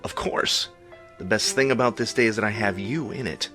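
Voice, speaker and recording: An actor playing a sardonic, middle-aged superhero in an audio drama. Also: male voice, fairly low pitch, moderate pace, sharp tone, soft background music. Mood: affectionate. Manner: sardonic, incredulous. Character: exasperated, sarcastic aging male superhero facing decline.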